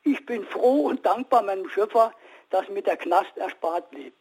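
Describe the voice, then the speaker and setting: Husky-voiced man in his sixties, calling in. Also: high-pitched, a little mellow. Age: 60s. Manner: halting, many restarts and fillers. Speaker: caller. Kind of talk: call-in radio testimony.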